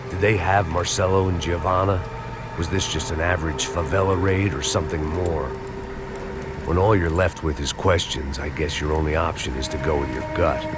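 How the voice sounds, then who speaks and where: An American man playing a weary, cynical middle-aged ex-cop antihero, narrating in a deadpan, hard-boiled noir style for a video game. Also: a bass voice. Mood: brooding, suspicious. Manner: deadpan, sardonic, world-weary. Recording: music playing behind the voice.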